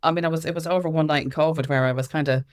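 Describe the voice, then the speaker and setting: A woman's voice: low-pitched, fairly even intonation, very fast, clear, resonant tone. An Irish woman giving candid, informal and emphatic answers as an interview guest, speaking as an activist. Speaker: guest, activist and music consultant.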